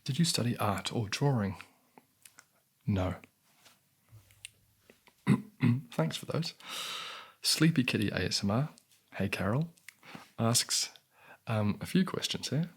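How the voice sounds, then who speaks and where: Soft-spoken raspy New man. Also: fairly low pitch, animated. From New Zealand. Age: 20s. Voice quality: raspy, losing his voice. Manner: soft-spoken, unhurried, frequent throat clearing. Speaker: ASMR channel host.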